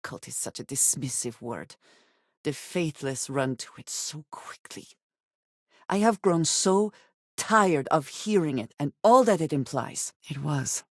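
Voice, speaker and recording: An actress playing a guarded, devout and loyal woman spacefarer in a video game, with measured, formal, precise phrasing. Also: deep voice, lively intonation, dry recording, studio quality, exaggerated voice. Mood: firm, indignant, then reflective. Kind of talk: scripted game character dialogue.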